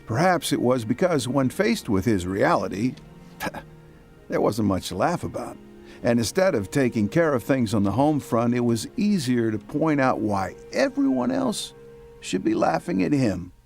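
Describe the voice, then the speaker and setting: A man's voice: mid-range voice, very animated, measured pace, creaky, darkish tone, faint music behind. A man hosting and narrating an audio drama series in a scripted style. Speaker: host and narrator.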